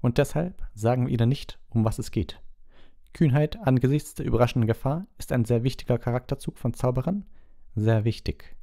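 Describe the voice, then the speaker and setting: A weary old ministry official from a storybook, played by a male reader, pitched well above a normal man's talk. He is stern and officious, setting out rules in clipped, formal sentences. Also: gravelly, dark tone, natural voice.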